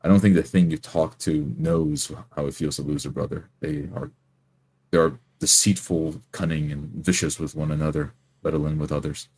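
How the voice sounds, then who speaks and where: A man playing a grave, distrustful adventurer who speaks in a deliberate, brooding way. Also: a bass voice, little pitch movement, a little mellow, husky, exaggerated voice.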